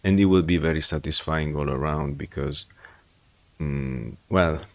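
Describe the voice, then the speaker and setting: Male streamer with a low voice, dry close audio. Unhurried and reflective, rambles through advice. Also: creaky, dry recording. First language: English. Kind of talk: casual livestream chat.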